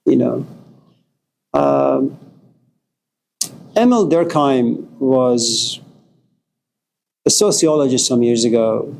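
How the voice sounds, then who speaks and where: A man's voice: medium pitch, extremely slow, vocal fry, long pauses, darkish tone, poor audio. A middle-aged man from Iran gives an informal philosophy lecture, passionate and emphatic, swearing at times.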